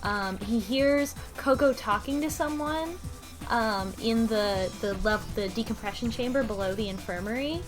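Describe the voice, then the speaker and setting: A woman with a fairly high voice talks casually as a podcast panelist about a game's story. She speculates in an animated, loosely strung way, saying "like" often, with music playing behind her. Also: normal speed. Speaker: book club podcast panelist. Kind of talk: casual discussion of a video game story. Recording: music playing under the talk. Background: background music.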